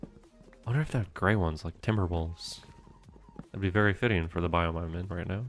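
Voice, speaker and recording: A young man streaming a game from home, chatting with his viewers in a soft, meandering way. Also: deep voice, normal speed, faint music behind. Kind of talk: casual gameplay commentary.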